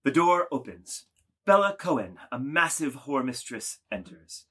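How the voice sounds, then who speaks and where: A man narrating a literary drama, reading scripted stage directions in a deliberate, dramatic way. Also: medium pitch, sing-song, bright, forceful tone, husky.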